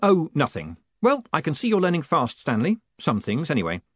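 A man voicing a quick-witted, wry and exasperated man in charge in an audiobook. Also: fairly high pitch, huge pitch swings, husky, dry recording, highs cut off, pitched above the performer's own voice, heavily acted. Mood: wry, cheerful. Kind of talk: audiobook character voice.

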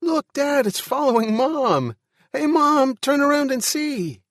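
A man voicing an excited small boy in a storybook reading, calling out eagerly to his parents. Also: extremely high pitch, takes it slowly, no echo, studio quality, voice pushed up, heavily acted. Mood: excited, delighted.

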